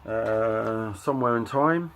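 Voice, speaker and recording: Low-voiced middle-aged man, slightly gravelly. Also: fairly bright tone, slight background noise. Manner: halting, offhand, reads out titles in short bursts. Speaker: record collector and channel host. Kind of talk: casual show-and-tell commentary.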